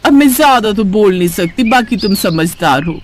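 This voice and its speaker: Man voicing high-pitched gossiping neighbourhood auntie. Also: expressive, moderate pace, slight background noise. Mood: sly insinuation. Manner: insinuating, disapproving. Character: gossiping, suspicious middle-aged neighbourhood women, slyly judgmental. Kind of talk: cartoon story character acting.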